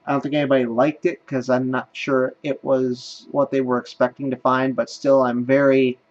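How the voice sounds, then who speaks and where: A man's voice: mid-range voice, level tone, moderate pace, lo-fi sound. A man hosting a gaming video, thinking aloud in casual commentary as he plays. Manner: thinks aloud while playing. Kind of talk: casual let's play commentary.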